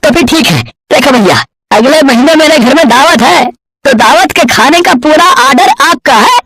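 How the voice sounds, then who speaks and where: A woman's voice: high-pitched, lively intonation, moderate pace, a bit bright, no room sound. A woman voicing a hearty, well-off male bird customer in a children's cartoon, talking in a folksy, effusive, chatty way. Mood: effusive, jovial. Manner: folksy, effusive, full of exclamations. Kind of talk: children's cartoon story acting.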